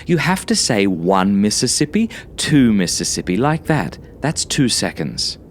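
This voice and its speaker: A man voicing a playful yet menacing mother in a horror story, with drawn-out, emphatic words. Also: on the low side, sing-song, slight background noise.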